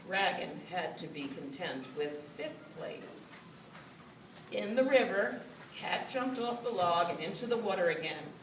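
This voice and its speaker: Woman, children's storyteller, expressive scripted narration, unhurried pace, echoey room with distant mic. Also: on the low side. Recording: roomy, distant mic, faint noise.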